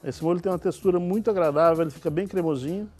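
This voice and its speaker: A man's voice: high voice, racing, soft background music. Brazilian man chef cooking on TV. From Minas Gerais, Brazil. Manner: relaxed, explaining step by step with small restarts. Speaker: guest chef. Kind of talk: cooking demonstration. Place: TV studio kitchen.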